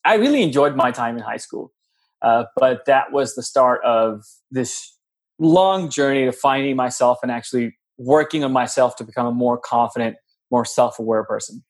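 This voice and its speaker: A man from Pakistan, a podcast guest, talking about his life in a friendly, reflective way over a video call. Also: medium pitch. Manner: friendly, reflective, drawn-out phrasing. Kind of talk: casual podcast interview.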